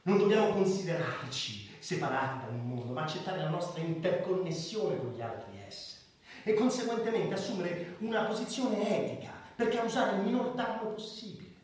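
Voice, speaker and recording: A man acting in a radio drama as an idealistic doctor, orating with emphatic pauses like a public crusader. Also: high voice, lively intonation, a little mellow. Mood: solemn warning. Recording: very echoey hall, far from the mic.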